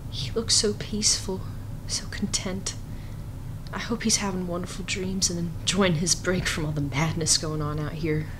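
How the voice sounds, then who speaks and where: A woman's voice: on the low side, steady tone, normal speed, noisy background, lightly acted. A woman playing a distraught, anxious young mother in a comic dub. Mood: hushed, worried.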